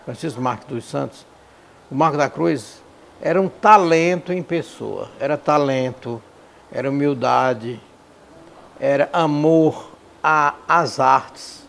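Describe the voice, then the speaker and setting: Creaky-voiced man, emphatic. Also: mid-range voice, slow pace, a bit bright. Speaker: interviewee. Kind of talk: spontaneous documentary testimony.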